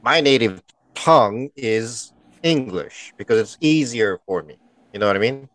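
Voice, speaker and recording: A man's voice: mid-range voice, lively intonation, unhurried, a bit bright, no echo. A man born in the Philippines, co-hosting a casual podcast over a video call, blunt and teasing. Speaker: podcast co-host. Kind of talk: casual chat. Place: bright home room, indoors. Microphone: headset and studio mic on video call.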